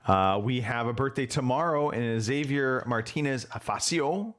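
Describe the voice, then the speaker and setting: Lively man hosting a podcast. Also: medium pitch, normal speed. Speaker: podcast host. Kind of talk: casual chat.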